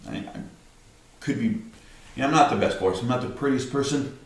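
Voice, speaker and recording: An older man with a gruff voice who talks directly, rambling to camera in a casual vlog and often tagging on "right?". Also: medium pitch, fairly quick, heavy reverb, far from the mic. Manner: rambling, many "right?" tags. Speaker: vlogger.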